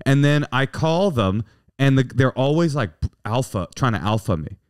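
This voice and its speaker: A man's voice: medium pitch, moderate pace, smooth, no echo. Guy cohosting a podcast, jokey, voice swings up and down a lot, dry close sound. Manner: jokey, animated, acts out quoted voices. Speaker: podcast co-host. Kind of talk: casual banter.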